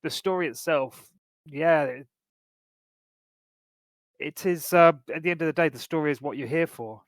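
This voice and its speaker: Male co-host for a film podcast, fairly high voice, loose informal delivery, no room sound. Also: moderate pace, no room sound, studio quality. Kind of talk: casual film discussion.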